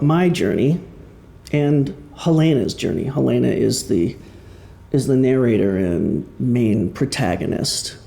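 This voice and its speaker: A man giving an informal talk about his own creative work, speaking in a halting, self-deprecating, conversational way before an audience. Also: mid-range voice, gravelly, dark tone. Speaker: author and musician giving a presentation. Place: indoor room before an audience. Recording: roomy live presentation sound.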